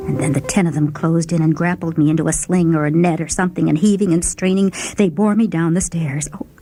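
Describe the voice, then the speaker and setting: A woman narrating a first-person short story in a dramatic, expressive, theatrical manner. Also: low voice, fairly quick. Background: music.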